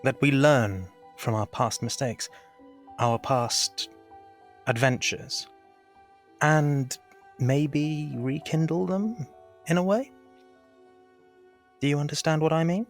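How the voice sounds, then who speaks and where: A man playing a reflective, tender man in an audio drama, speaking earnestly and haltingly. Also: fairly high pitch, faint music behind. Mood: wistful, tender. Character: reflective, tender man hoping to rekindle the past.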